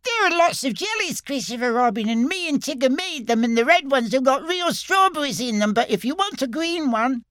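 A man voicing a tiny young kangaroo child with a very high, eager voice. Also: animated, brisk, hardly any pauses, a bit bright, no echo, studio quality.

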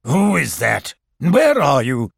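A man's voice: on the high side, big pitch swings, slow pace, gravelly, slightly rough, no room sound, studio quality, exaggerated voice. Man putting on a pompous, grumpy storybook owl. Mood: nervous. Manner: blustering, indignant. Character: pompous, grumpy, easily rattled male owl. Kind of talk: storybook character voice.

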